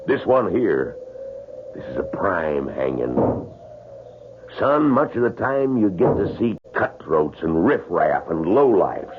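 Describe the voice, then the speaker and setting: Callous soldier, very low, lively drawl, radio drama. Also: male voice, takes it slowly, heavily acted. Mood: callous relish. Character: gruff older soldier relishing a hanging, folksy and callous. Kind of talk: old-time radio drama acting. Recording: tinny, noisy old radio broadcast.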